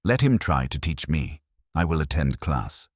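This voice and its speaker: A man voicing an imperious, cerebral detective in an audiobook, speaking formally and deliberately. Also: a bass voice, sing-song, no room sound, studio quality, full character voice.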